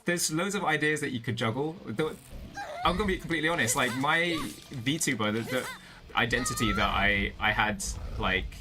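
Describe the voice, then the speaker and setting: A man's voice: medium pitch, bright, forceful tone. Chatty British man streaming over music. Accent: British with a slight American tinge. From Britain. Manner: rambling, laughs often, many fillers and restarts. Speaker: VTuber streamer. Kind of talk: casual chat with stream viewers. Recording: music playing behind the voice.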